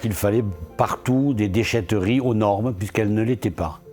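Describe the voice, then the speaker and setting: A man's voice: fairly low pitch, normal speed, darkish tone, faint music behind. A man speaking earnestly as an elected local official in a promotional interview, posing questions and answering them himself. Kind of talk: promotional interview testimony about local achievements.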